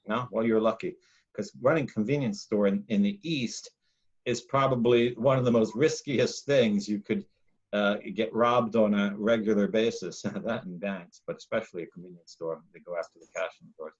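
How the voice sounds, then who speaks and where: A man, a monk hosting a casual talk, telling a long personal story in a loud, emphatic, rambling way. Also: lowish voice, normal speed, lo-fi sound. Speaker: podcast host, monk.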